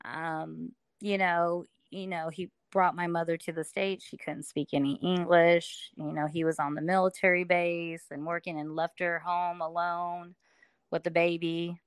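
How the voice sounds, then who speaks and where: Middle-aged woman from alabama, lowish smooth voice, lots of um and you know, dry close sound. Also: flat delivery, unhurried, dry recording. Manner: chatty, many fillers, frequent laughs. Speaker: guest. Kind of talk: casual interview storytelling.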